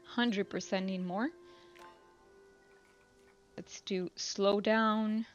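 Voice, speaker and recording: Woman streaming a game, chill rambly chat, thinks out loud, medium pitch, bit of music behind her. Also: extremely slow, lo-fi sound. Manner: relaxed, rambling, thinking aloud. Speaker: live streamer. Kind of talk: casual chat while playing a video game.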